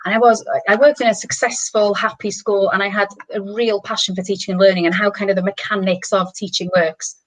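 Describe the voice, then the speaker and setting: A woman's voice: mid-range voice, little pitch movement, brisk, few pauses, poor audio. A middle-aged woman with a Welsh accent, a native Welsh speaker, talking enthusiastically as a guest on an online panel, in long flowing runs. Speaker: managing director, guest. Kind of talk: casual online panel chat.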